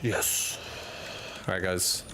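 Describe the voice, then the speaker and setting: A man's voice: takes it slowly, noisy background. A man streaming a game from a hot room at home, chatting casually and rambling through jokes while he reads chat and laughs often.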